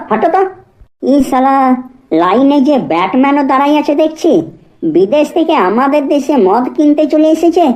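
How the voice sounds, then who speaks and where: Woman playing a high-pitched cheeky street interviewer. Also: a little mellow, natural voice. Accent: western rural West Bengal. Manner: teasing, grumbling. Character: cheeky, muttering street television interviewer at liquor queue. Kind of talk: comedy cartoon dialogue.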